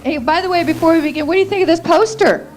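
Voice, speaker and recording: A woman's voice: very high-pitched, very fast, background noise. An American woman co-hosting a live radio event, making casual announcements to the room. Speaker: radio show co-host.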